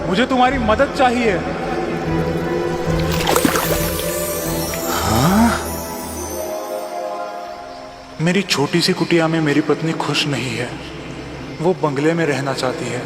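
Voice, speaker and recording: A man voicing a poor, kindly fisherman husband in a children's cartoon, gentle and earnest. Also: a little high, lively intonation, normal speed, close to an everyday voice. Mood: pleading. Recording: reverberant echo under background music.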